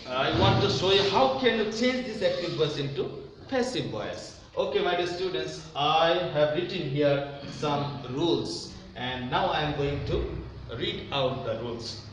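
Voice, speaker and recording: A man's voice: fairly high pitch, expressive, a little slow. A man teaching an English grammar lesson in a didactic, emphatic way, repeating key phrases for his students. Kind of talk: online classroom grammar lesson. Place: echoey room with a whiteboard. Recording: very echoey room, faint noise.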